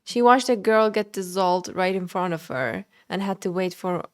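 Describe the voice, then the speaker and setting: A woman's voice: medium pitch, moderate pace, clear, resonant tone. A woman livestreaming a video game, commenting and reading the in-game text aloud. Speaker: game streamer.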